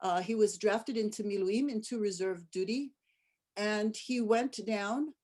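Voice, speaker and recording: A woman of middle pitch talks through a music presentation in an easy, conversational way. She restarts phrases and drops in little fillers as she explains the songs to her listeners. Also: a little slow, darkish tone. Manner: chatty, with frequent fillers and restarts. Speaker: music director giving a talk. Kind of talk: casual online lecture.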